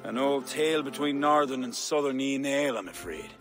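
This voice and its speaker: A man's voice: mid-range voice, brisk, over music, close to an everyday voice. An actor playing a proud, shrewd middle-aged King, speaking formally and with measure in a video game. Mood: resolute. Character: proud, stately, shrewd Irish High King. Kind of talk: video game cutscene acting.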